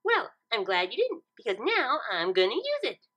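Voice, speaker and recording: A woman's voice: on the high side, huge pitch swings, moderate pace, bright, forceful tone, voice pushed up, heavily acted. A woman voicing a bubbly, cheerful female cartoon pony with a playful, chirpy, sing-song delivery.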